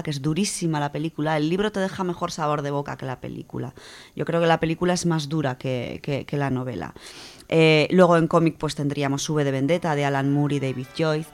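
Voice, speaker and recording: A woman from co-hosting a radio show, chatting casually and reading a story, animated, with many fillers. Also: low-pitched. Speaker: radio co-host presenting a literature section.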